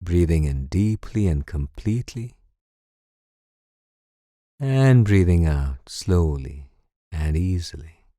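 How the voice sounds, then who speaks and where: Male, meditation instructor, soothing, measured delivery, dark mellow tone, unhurried pace. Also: sits low, expressive, dry recording, clean studio sound. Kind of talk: slow guided meditation narration.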